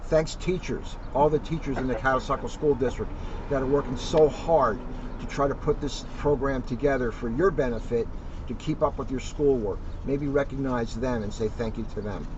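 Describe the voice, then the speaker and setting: A man's voice: mid-range voice, normal speed. A man, a police officer, talking casually to children as part of a picture-book read-aloud. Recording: dull lo-fi sound, loud outdoor traffic noise.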